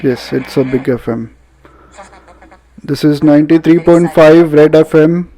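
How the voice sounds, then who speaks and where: A man with an Indian accent giving a casual, halting product demonstration as a radio reviewer. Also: fairly high pitch, dark tone. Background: radio music playing.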